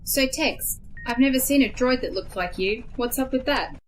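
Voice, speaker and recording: A woman's voice: high voice, moderate pace, slight background noise, close to an everyday voice, poor audio. A woman playing a self-assured, blunt pilot and bomb tinkerer with a wry manner in an audio drama. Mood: upbeat, curious. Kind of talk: scripted audio drama acting.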